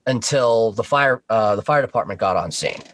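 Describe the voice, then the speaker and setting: A man's voice: lowish voice, animated, moderate pace, a bit bright, slight background noise. A man chatting informally as a podcast guest, telling work stories and swearing casually. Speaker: podcast guest, Coast Guard boat operator.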